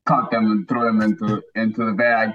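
Low-voiced Cuban-born man, dull line. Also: little pitch movement, a bit bright, slight background noise. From Cuba. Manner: halting, repeats words. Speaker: podcast guest. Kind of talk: casual interview.

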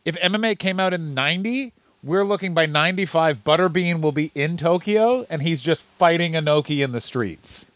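A man's voice: a little high, moderate pace, hardly any pauses, a bit bright, dry recording. Crude, heckling podcast co-host man. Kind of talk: casual chat.

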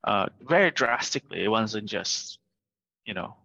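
Brief: middle-aged man from Vietnam, lower pitch, bright forceful tone, lo-fi call audio, earnest founder guest. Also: lively intonation, moderate pace, creaky. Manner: earnest, keeps adding "right?". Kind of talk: remote interview. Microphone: video call.

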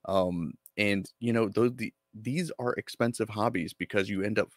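A man's voice: on the low side, normal speed, dry recording, clean studio sound. A man co-hosting a casual hobby show, easygoing and given to stammered restarts. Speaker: co-host.

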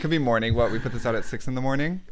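A man's voice: mid-range voice, very fast, over a noisy crowd. A man co-hosting a casual podcast, joking and swearing as he cuts in with wisecracks, sharing a mic with the guest. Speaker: podcast co-host. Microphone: sharing one microphone with the guest.

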